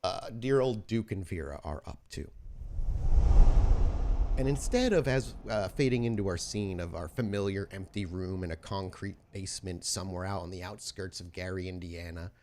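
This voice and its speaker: Man hosting, slightly low voice. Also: moderate pace, darkish tone, a little hiss or hum. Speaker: podcast host and game storyteller. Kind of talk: hosting and narrating a tabletop horror game.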